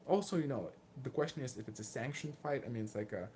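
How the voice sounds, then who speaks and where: A man in his late twenties with a Lithuanian accent, casually answering viewer questions in a candid, self-deprecating, halting way. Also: mid-range voice, expressive, warm tone, slight background noise. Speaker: martial arts vlogger and fighter.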